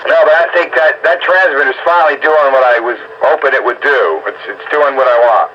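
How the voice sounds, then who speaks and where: A man's voice: mid-range voice, fairly even intonation, a little fast. A man in a casual radio chat as an amateur operator, often repeating his words for emphasis. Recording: dull lo-fi radio signal with static.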